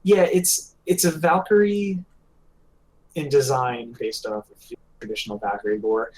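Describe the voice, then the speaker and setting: A man talking casually as a podcast co-host, in a halting, hesitant delivery. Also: medium pitch, fairly even intonation, a little mellow, low-quality recording. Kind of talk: casual hobby podcast chat.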